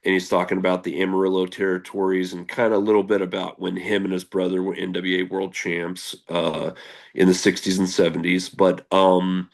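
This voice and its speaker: A man in his thirties speaks in a very low, gravelly voice as a guest on a casual interview. He rambles earnestly and candidly, with plenty of fillers and restarts.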